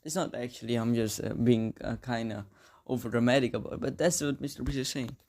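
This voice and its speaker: A woman reacting alongside a co-host with casual banter, crude slang and sudden loud outbursts. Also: extremely low pitch, brisk.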